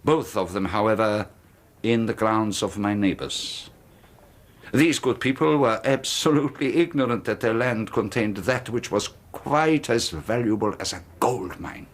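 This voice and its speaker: An actor playing a sinister, secretive middle-aged colonel, talking smoothly and insistently in a radio drama. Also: male voice, fairly low pitch, unhurried. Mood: persuasive. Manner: smooth, insistent, quietly threatening. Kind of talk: 1980s radio drama acting.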